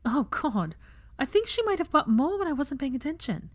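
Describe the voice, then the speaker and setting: Lady playing a sensible kindly young woman for an audiobook, articulate, animated. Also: high-pitched, moderate pace, voice pushed up. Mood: exasperated amusement. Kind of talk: fan fiction audiobook character voice.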